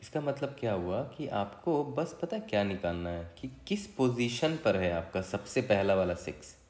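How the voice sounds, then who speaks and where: Indian guy doing a casual coding tutorial, lowish voice, keeps checking you follow, echoey room. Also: very animated, moderate pace, darkish tone. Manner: informal, conversational, frequent check-in tags. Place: indoor room, voice-over for screen recording. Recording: roomy, echoey voice recording.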